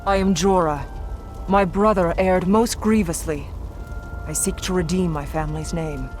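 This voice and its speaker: A woman's voice: lowish voice, normal speed, a little mellow, close to an everyday voice. An actress playing a grim, proud Norn warrior woman in a video game, speaking in a solemn, terse, formal way. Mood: resolute. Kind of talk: video game cutscene acting. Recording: music behind the voice.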